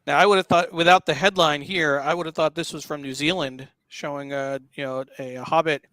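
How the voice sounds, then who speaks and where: A man hosting a casual live talk show, explaining what he is showing in a halting, explanatory way. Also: on the high side, fairly even intonation, sharp tone, no room sound. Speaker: show host. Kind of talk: casual live talk walking through a newspaper.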